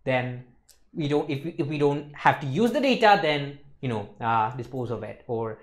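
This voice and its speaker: A man's voice: mid-range voice, lively intonation, normal speed. A young man in his twenties giving an exam revision lecture as an instructor, explaining emphatically and often checking that listeners follow. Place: indoor lecture studio with slides.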